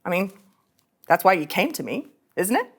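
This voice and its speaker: A woman's voice: fairly low pitch, sing-song, normal speed, breathy edge. A woman playing a cocky, persuasive young female starship ensign in a theatrical, sardonic sci-fi script reading. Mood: smug, persuasive. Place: studio.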